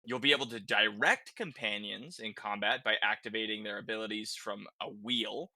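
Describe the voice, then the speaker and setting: A man with a very bright, forceful voice hosts a casual gaming discussion. He speaks conversationally and tags many statements with a quick check-in. The sound is dry and close. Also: on the low side, no room sound. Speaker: podcast host.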